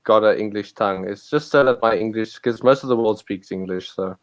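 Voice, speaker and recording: A man from South Africa with Afrikaans as his first language chats casually in English, pausing and restarting as he searches for words. His voice sits somewhat low, and the sound comes through a plain computer microphone on a video call. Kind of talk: casual chat.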